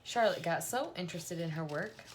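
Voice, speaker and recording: A woman's voice: on the low side, expressive, normal speed, slight background noise. A woman in her thirties reading a children's novel aloud at home. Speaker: reader. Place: small room at home, indoors.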